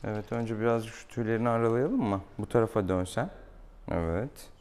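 A man's voice: lowish voice, brisk, darkish tone. A young man, a veterinarian chatting casually and playfully coaxing a pet as he works. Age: young adult. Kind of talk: casual chat while treating an animal. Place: small tiled veterinary exam room. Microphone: camera a few steps away. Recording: noisy room, camera mic at distance.

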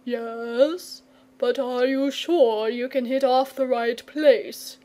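A languid storybook dragon, performed by a woman, fussy and coy, with airs of refinement. He draws his words out very slowly, in no hurry to be persuaded. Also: a little high, fairly even intonation, mellow. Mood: anxious, testy. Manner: drawling, leisurely, testy when pressed. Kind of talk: storybook character reading.

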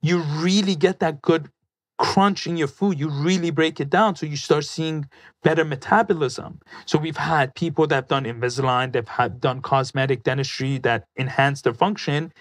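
Man explaining eagerly, slightly high voice. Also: normal speed, dry recording. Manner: enthusiastic, explanatory, salesy. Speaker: dentist guest. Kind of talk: casual chat. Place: colorful studio set. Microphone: studio mic on boom arm, headphones.

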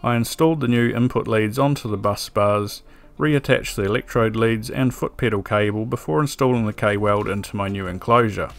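A man giving a calm, methodical scripted voice-over for an electronics build tutorial, with a dry sense of humor. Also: on the low side, normal speed, creaky. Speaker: electronics DIY video maker. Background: soft background music.

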